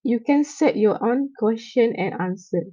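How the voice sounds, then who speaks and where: A woman gives a classroom lecture, her voice nasal and stuffy from a cold. She speaks slowly in a mellow, fairly high voice, pausing on fillers and asking "okay?" to check her students follow. The sound is dry and a little muffled. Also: no room sound. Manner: explains slowly with many fillers and check-ins. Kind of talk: classroom lecture on software tools.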